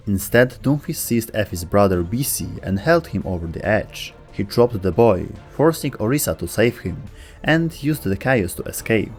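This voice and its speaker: A man's voice: fairly low pitch, lively intonation, moderate pace, a little mellow. A man delivering scripted storytelling narration for a video game lore video. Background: faint background music.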